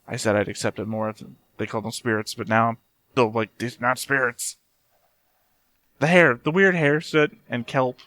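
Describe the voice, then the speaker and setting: A man's voice: mid-range voice, steady tone, moderate pace, fairly bright tone, no echo. A man giving casual, off-the-cuff commentary as he watches a show, blunt and given to casual swearing. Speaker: reaction video host.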